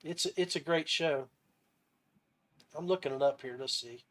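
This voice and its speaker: A man hosting a casual interview podcast, speaking haltingly and often restarting his phrases. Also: on the high side, fairly bright tone. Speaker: podcast host.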